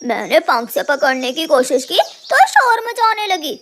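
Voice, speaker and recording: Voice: high voice, big pitch swings, measured pace, a bit bright, exaggerated voice. An actor voicing a sly, self-righteous male crow in a cartoon, whining and indignant. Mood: indignant, lying. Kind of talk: animated cartoon dubbing.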